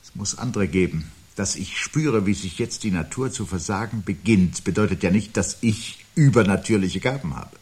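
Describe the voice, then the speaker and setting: An actor playing a brooding, anxious man who prophesies the end of life, speaking gravely and deliberately with a husky voice in a 1970s radio play. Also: fairly low pitch, a little mellow. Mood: bleak, insistent. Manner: grave, deliberate, articulate, philosophical monologuing.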